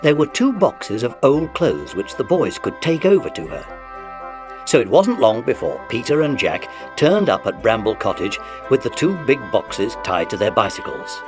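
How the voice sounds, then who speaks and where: A man narrating a children's story as a scripted audiobook, in an animated storytelling style. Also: medium pitch, measured pace, music in the background.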